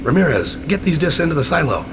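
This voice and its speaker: An actor playing a determined covert operative leading a mission in a video game cutscene. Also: male voice, fairly high pitch, sing-song, quick pace. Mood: commanding. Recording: loud music, vehicle noise.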